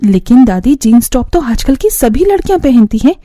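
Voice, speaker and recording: A woman's voice: on the high side, very animated, normal speed, warm tone, dry recording, studio quality. A woman voicing an aggrieved twenty-year-old daughter, pleading and protesting in a family cartoon. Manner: plaintive, protesting. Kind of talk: Hindi family cartoon voice acting.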